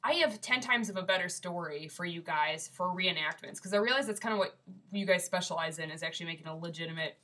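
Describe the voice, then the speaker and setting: Congested woman, bright chatty voice. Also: fairly low pitch. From Michigan. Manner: chatty, rambling with many fillers. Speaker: ghost story submitter. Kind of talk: casual video submission. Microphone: webcam.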